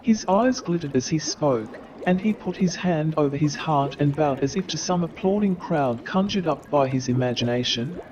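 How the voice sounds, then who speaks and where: A man's voice: fairly high pitch, expressive, normal speed, few pauses, highs cut off, noisy background. A man narrating a staged radio play, reading scripted story passages between the characters' lines. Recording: crowd and watery sounds behind.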